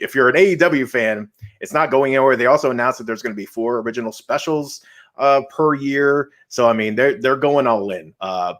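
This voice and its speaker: Man, mid-range pitch, podcast co-host, casual fan chat, enthusiastic and emphatic.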